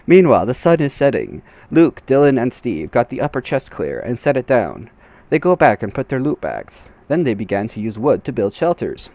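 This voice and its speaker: Theatrical man reading a story, very lively pitch. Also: moderate pace, dry recording. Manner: theatrical, amused, often breaking into laughter. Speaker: reader. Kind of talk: comedic dramatic reading of a garbled fan story.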